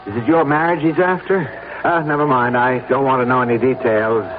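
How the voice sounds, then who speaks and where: A man's voice: medium pitch, fairly even intonation, close to an everyday voice. An American man playing a bitter, insistent victim pushing a plot in a vintage radio drama. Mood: grimly confiding. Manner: insistent, pressing, deliberate. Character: bitter, insistent male blackmail victim recruiting a murder plot. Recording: noisy narrowband old radio recording.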